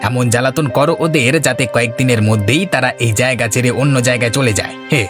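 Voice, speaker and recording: A man plays a greedy cartoon villager, a bullying husband with a mid-pitched voice. He is bossy and insistent, forever scheming, over clearly audible background music. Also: quick pace, natural voice. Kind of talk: cartoon voice acting.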